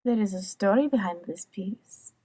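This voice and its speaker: A woman playing an alluring, worldly courtesan who tells tales in a soft, measured, theatrical way for an audiobook drama. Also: mid-range voice, breathy edge, no room sound, natural voice. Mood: playful, inviting. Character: alluring young female courtesan telling legends, worldly and teasing.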